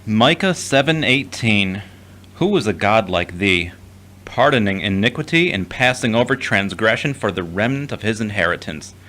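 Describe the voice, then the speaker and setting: A man's voice: on the low side, lively intonation, normal speed, bright, forceful tone. A man playing a pious, self-doubting adult son in an audio drama, earnest and a little hesitant. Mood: reverent, subdued.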